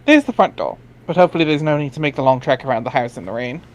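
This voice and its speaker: Higher-voiced man reading narration aloud. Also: expressive, racing. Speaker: reader in a group dramatic reading.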